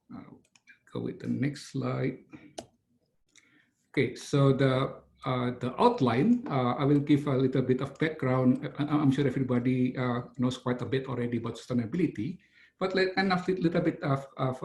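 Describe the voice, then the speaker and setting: A middle-aged man from Indonesia, giving a webinar lecture over a video call, halting and full of "uh" fillers and restarts. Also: medium pitch, vocal fry, a little mellow. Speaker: engineering professor.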